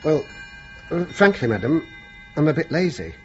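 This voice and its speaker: A man's voice: a little high, sing-song, normal speed, soft background music. An actor playing an easygoing, self-deprecating British working man in his sixties, deferential and a little hesitant, in a radio play. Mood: reluctant. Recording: dull, noisy radio play recording.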